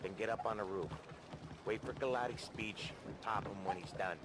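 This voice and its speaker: An actor playing a confident, streetwise mob associate in a crime game, casual and slangy. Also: male voice, on the low side, normal speed, close to an everyday voice. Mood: reassuring. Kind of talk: cinematic crime game acting. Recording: noisy outdoor ambience behind.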